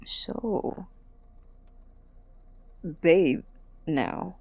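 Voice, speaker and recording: Guy acting a shy earnest student, talks slow n hesitant, stammers, soft, sorry about everything, audio drama. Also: fairly high pitch, expressive, heavily acted. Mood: awkwardly curious. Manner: hesitant, stammering, soft and breathy when sincere. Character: awkward, apologetic, earnest male college student.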